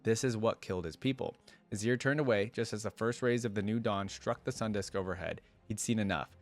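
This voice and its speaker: A man reading a fantasy story aloud in a measured, dramatic way, with casual reaction asides as a fan video creator. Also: on the low side, soft background music.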